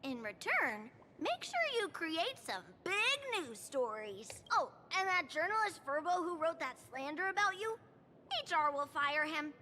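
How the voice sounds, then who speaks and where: Squeaky, eager little critter underling. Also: female voice, sing-song, unhurried, bright, forceful tone, slight background noise, full character voice. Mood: businesslike, eager. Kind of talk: video game character acting. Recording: game music behind the voice.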